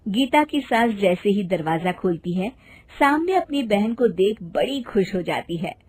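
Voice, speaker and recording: Husky woman narrating a storybook. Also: medium pitch, sing-song, a little slow, darkish tone.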